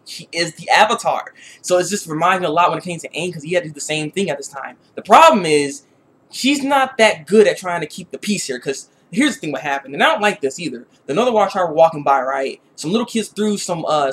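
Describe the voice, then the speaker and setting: Male reviewer, higher voice, conversational and animated, rambling commentary, faint noise behind. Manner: animated, conversational, repeats phrases. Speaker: YouTube reviewer.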